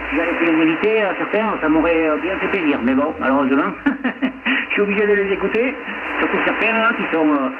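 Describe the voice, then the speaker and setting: A man's voice: fairly high pitch, animated, brisk. A man from France chatting casually as a radio operator, rambling along and repeating his phrases, heard over a radio link. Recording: tinny band-limited radio link, heavy static.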